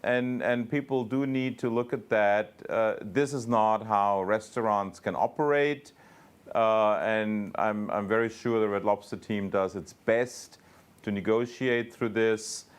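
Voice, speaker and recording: Exec guy on an earnings call, low clean voice, stop-and-start but emphatic, repeats himself. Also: smooth. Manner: halting, emphatic, often repeats words. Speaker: company executive on an earnings call. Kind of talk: unscripted answers to investor questions.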